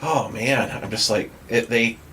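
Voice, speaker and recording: A man in a casual podcast chat, speaking informally and haltingly and correcting himself as he goes. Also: medium pitch, pushed and bright, slight background noise. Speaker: podcast participant.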